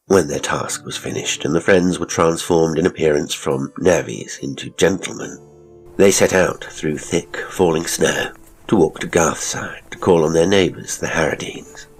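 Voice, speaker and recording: A man's voice: very deep voice, normal speed, faint music behind, low-quality recording. A man reading literary fiction aloud as a scripted audiobook narration.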